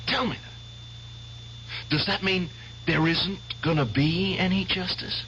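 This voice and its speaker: A man's voice: sits high, slow pace. An actor playing a haunted male war veteran bent on revenge, intense and insistent, in a 1970s radio drama. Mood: bitter. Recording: old lo-fi radio broadcast.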